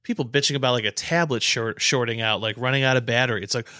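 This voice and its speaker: A man's voice: mid-range voice, fast talker, sharp tone, dry recording, studio quality. A man co-hosting a casual sports podcast, ranting with blunt, emphatic, profanity-laced talk. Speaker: podcast co-host.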